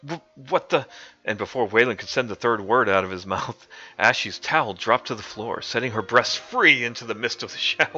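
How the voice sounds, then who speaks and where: A man's voice: on the low side, moderate pace, hardly any pauses, sharp tone, breathy edge. A young man streaming a mock-dramatic reading of a comic fanfic, often cracking up with laughter. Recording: dull lo-fi stream audio with faint music.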